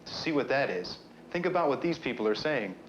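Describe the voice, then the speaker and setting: An actor playing a chatty explainer, presenting an educational film in a conversational, scripted way. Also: male voice, medium pitch, very animated, a little fast, noisy background, lightly acted. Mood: explaining. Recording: muffled 1970s film soundtrack with music.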